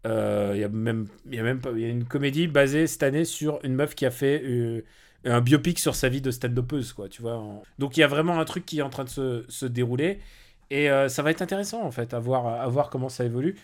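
A man's voice: medium pitch, fairly quick. A man speaking as a podcast guest and comedy film critic, giving opinionated views in long, rambling stretches of casual talk.

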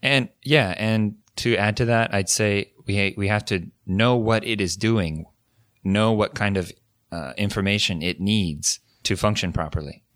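A man's voice: on the low side, measured pace, fairly bright tone, no echo, studio quality. A man co-hosting a podcast on language learning, explaining ideas in a thoughtful, casual way with frequent restarts. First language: English. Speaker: podcast co-host. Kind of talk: casual educational chat.

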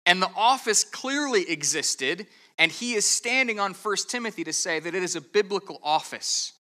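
A man's voice: sits high, expressive, normal speed, bright, forceful tone. A man preaching an expository sermon as a pastor, explaining step by step in an earnest, methodical way, with the odd stammered restart. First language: English.

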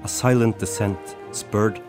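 A man's voice: lowish voice, fairly even intonation, measured pace, over music, close to an everyday voice. A man from Norway playing a solemn, cryptic young band poet in an amateur radio play, speaking pompously. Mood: solemn, poetic. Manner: pompous, portentous.

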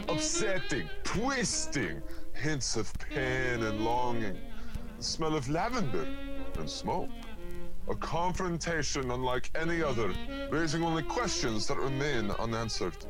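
A man's voice: mid-range voice, very animated, slow pace, music in the background. Man narrating a mock soap opera. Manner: overblown teaser tone.

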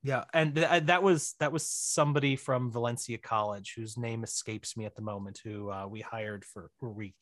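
A man's voice: mid-range voice, steady tone, fairly bright tone, no echo. Wry man in a dry, close recording. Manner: self-deprecating, wry, halting as he explains. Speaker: podcast guest, writer and director. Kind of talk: casual conversational interview.

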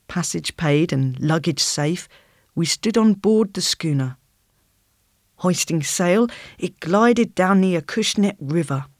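A narrator reading literary fiction aloud as an audiobook, in a measured, expressive storytelling manner with deliberate phrasing. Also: mid-range voice, no echo, studio quality. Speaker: audiobook reader.

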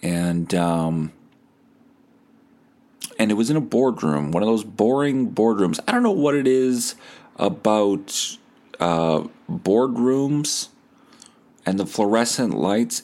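A man's voice: lowish voice, very animated, slow, a little mellow. A man hosting a podcast, delivering a rambling comedic monologue in a sardonic, foul-mouthed, mock-boastful way.

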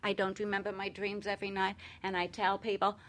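A woman's voice: medium pitch, completely flat delivery, brisk, fairly bright tone. A woman speaking as an expert guest in casual show conversation, plain-spoken and reassuring.

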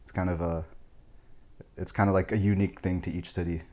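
Young man, American, quick casual talker, low pitch, rambling. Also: little pitch movement, a little mellow. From United States. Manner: rambling, heavy filler "like", chuckling. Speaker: podcast guest. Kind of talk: casual chat.

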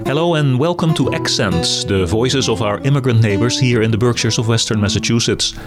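A man hosting a show, reading a measured, formal scripted introduction. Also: mid-range voice. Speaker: podcast host. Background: music under the voice.

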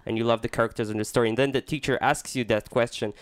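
A man's voice: medium pitch, steady tone, rapid-fire, no room sound. Man asking interview questions, dry sound. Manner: searching, repeats words while forming questions. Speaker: film student interviewer.

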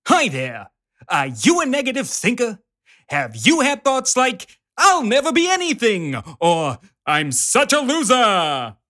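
A performer voicing an over-cheerful motivational infomercial pitcher in an exaggerated advertising style. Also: huge pitch swings, unhurried, bright, forceful tone, full character voice. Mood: gushing, upbeat.

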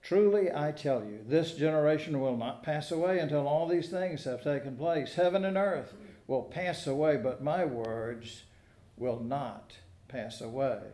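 A man preaching a sermon and reading scripture in a measured, explanatory way, with deliberate phrasing. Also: a little high, warm tone. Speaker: preacher at a church service. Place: indoors in a church. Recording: roomy, echoing church sound.

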